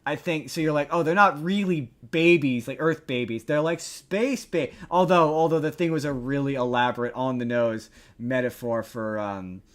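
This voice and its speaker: A man's voice: mid-range voice, moderate pace, few pauses. A man co-hosting a podcast, chatting casually and critically about pop culture, sweary and given to mocking imitations. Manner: sweary, opinionated, mimics others mockingly. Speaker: podcast co-host.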